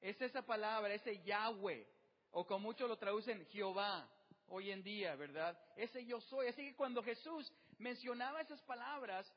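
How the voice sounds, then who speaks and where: High-voiced male preacher in a hall. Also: normal speed, lo-fi sound. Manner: rhetorical questions, frequent fillers. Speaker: pastor. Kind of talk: sermon.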